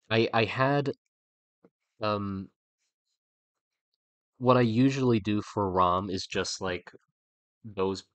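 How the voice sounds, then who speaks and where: A man's voice: sits low, fairly bright tone, no echo. A young man with a stuffy, slightly nasal voice, thinking aloud in a rambling, restarting way while he draws on a livestream. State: stuffy nose, a bit nasal. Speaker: artist streaming a creature design session. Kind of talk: casual livestream talk while drawing.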